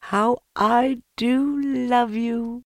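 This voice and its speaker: A woman voicing a kind, calm young boy in a storybook, with a gentle, reassuring manner. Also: a little high, extremely slow, dry recording, studio quality, pitched above the performer's own voice. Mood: fond. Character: kind, calm young boy, fond of his animal friends.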